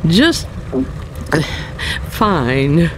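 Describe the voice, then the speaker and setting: Fretful cartoon driving teacher, slow measured speech, swooping pitch, patient but exasperated. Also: female voice, very animated, a little hiss or hum, heavily acted, rough recording. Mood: patient, uneasy.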